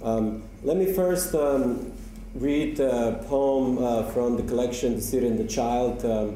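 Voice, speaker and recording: A male poet whose first language is Slovenian, introducing his reading with many pauses, ums and uhs. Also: medium pitch, warm tone, a little hiss or hum. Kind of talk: live poetry reading with spoken introductions. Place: university lecture hall. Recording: echoey hall from far off.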